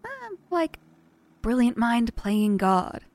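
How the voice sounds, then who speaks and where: Casting: female biographer, audio drama; slightly high voice, candid, wry, conversational, a bit hesitant. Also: very animated, slow pace, a little mellow. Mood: probing, hesitant.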